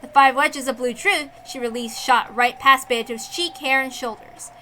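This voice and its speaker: High, very bright female story narrator. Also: fairly even intonation, moderate pace, a little hiss or hum. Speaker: reader, narrator. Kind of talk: dramatic storybook-like narration of a visual novel.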